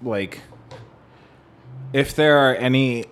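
A man co-hosting a podcast, talking casually about music in a halting way as he searches for words. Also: mid-range voice, fairly bright tone, clear, resonant tone. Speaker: podcast co-host. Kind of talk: casual music discussion with some theory.

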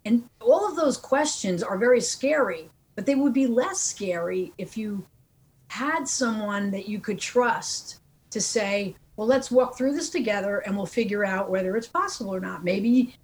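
Higher-voiced woman coach, earnest, faint hum. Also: low-quality recording. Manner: earnest and encouraging, laughs easily. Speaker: life coach guest. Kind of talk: casual interview answers.

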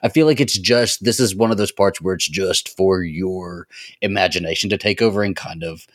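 A man's voice: low voice, moderate pace, no echo, studio quality. A man co-hosting a podcast in casual banter, with a wry, teasing, colloquial way of talking. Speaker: podcast co-host.

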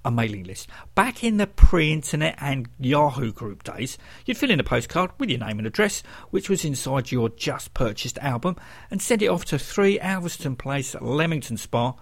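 A man's voice: mid-range voice, big pitch swings, moderate pace, fairly bright tone. A man with a London English accent, hosting a music radio show and talking between tracks. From London, England.

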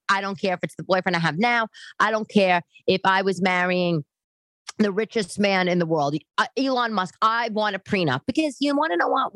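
A woman in her late thirties hosting a podcast, talking casually and bluntly to her listeners in an animated, conversational way. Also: medium pitch, no echo. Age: late 30s. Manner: animated, conversational, acts out others' remarks. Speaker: podcast host. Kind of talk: casual, chatty monologue to listeners.

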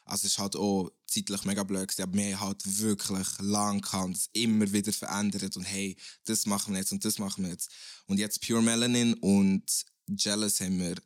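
A man's voice: fairly low pitch, little pitch movement, fairly quick, dry recording, studio quality. A young man, a guest rapper chatting casually in a podcast and rambling with restarts.

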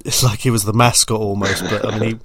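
A man in his forties hosting a podcast interview, with a slightly low voice. He asks questions in a chatty, rambling way. The recording is close and dry. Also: little pitch movement, fast, breathy edge, no room sound. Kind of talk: casual interview.

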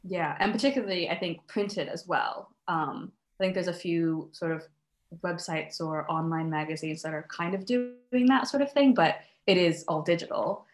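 A chatty young woman with an American accent, her voice sitting a little low, talking easily as a podcast guest. She pads her thoughts with ums and quick laughs. The sound is roomy, with a clear echo around her. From United States. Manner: chatty, laughs often, many ums and restarts. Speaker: podcast guest, crochet designer. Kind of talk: casual interview chat.

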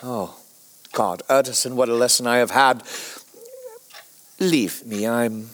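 A man playing an anguished, evasive Victorian doctor in a period drama, halting and fervent in his speech. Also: mid-range voice, a bit bright. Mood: anguished, weary. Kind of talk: period audio drama acting.

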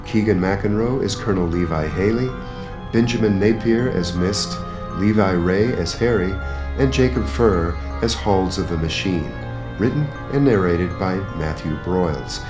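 Deep male radio announcer over guitar music. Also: normal speed. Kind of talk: scripted radio theater credits announcement. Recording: roomy, echoing sound. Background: guitar theme music.

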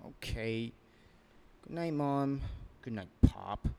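A man's voice: medium pitch, animated, very slow, vocal fry, darkish tone, smooth, exaggerated voice. A man playing a spoiled, evasive young son in a radio play, with a childlike, falsely innocent manner. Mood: reluctant. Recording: long reverb, music behind.

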